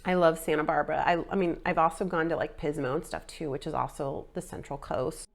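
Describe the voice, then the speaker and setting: A woman's voice: low-pitched, fairly even intonation, a little fast, gravelly. A woman in her forties, sounding a little nasal after a sinus infection, casually rambling through a personal fitness update with lots of ums and likes. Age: 40s. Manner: rambling, filler-heavy, frequent ums and likes. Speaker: fitness vlogger.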